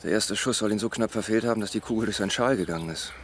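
An actor playing a probing, somewhat hesitant magazine reporter in a radio play. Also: male voice, on the low side, racing, a little hiss or hum, lightly acted.

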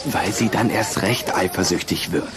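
A man's voice: sits low, moderate pace, a crowd in the background, natural voice. A male performer playing a cunning, secretive schoolboy in a radio play, talking in a precocious, mysterious manner. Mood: secretive, sly. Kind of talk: German radio play acting.

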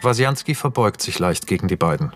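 A man's voice: on the low side, expressive, brisk, a bit bright, a little hiss or hum. A man narrating scene directions for a radio play in a scripted, matter-of-fact manner. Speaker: narrator reading screenplay directions.

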